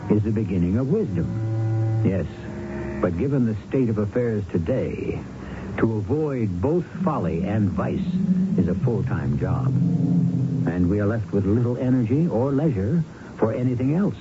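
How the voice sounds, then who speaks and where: An American man hosting and narrating a radio mystery drama in a measured, deliberate, theatrical way. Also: lowish voice, lively intonation, dull audio. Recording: band-limited old radio broadcast, music behind. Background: loud music.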